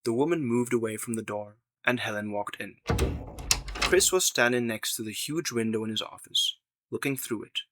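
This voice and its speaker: A man's voice: mid-range voice, normal speed, fairly bright tone. A man reading scripted narration for an audio drama.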